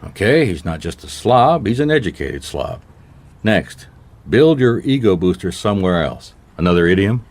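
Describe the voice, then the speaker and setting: A man's voice: low-pitched, sing-song, moderate pace, darkish tone, heavily acted. A man playing a laconic, dogged private detective in a noir radio mystery, casual, wry and probing.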